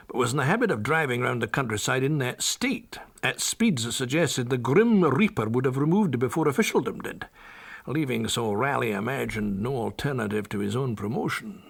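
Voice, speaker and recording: An actor playing a dry, sardonic army officer narrating a radio drama with wordy irony. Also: male voice, mid-range voice, creaky, slightly rough, heavily acted.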